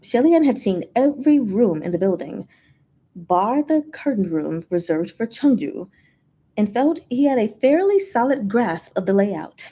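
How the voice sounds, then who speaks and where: A woman reading fiction aloud as narrator, in an expressive storytelling style. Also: medium pitch, a little slow, husky. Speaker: fanfiction reader, channel host.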